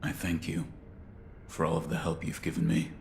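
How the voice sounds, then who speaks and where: A loyal shinobi retainer in a game cutscene, with an extremely low voice. The delivery is terse, formal and deadpan, stoic throughout, with ambient noise clearly behind it. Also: male voice, normal speed. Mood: resolute, grateful. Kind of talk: video game cutscene dialogue.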